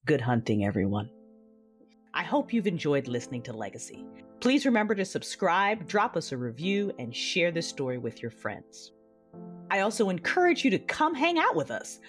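A woman hosting her podcast, chatting warmly through closing notes and credits. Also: low-pitched. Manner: friendly, chatty, reads credits. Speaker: podcast creator and host. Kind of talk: casual closing announcements and credits. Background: music.

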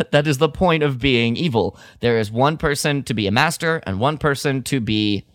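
A man talks as a podcast co-host in a casual fan discussion. His voice sits at a medium pitch. He explains things informally, often doubling back on his words, and the sound is close and very dry. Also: measured pace, no room sound.

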